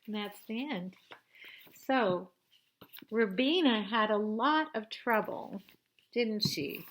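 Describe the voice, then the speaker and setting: Slow-paced woman reading a children's story. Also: medium pitch, poor audio. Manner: expressive, asks listeners questions. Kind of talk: children's read-aloud narration with asides.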